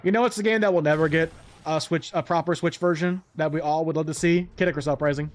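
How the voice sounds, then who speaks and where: A man's voice: fairly high pitch, fairly even intonation, quick pace. A man hosting a casual let's-play, chatting and rambling with a co-host while he plays, laughing often. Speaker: gameplay host.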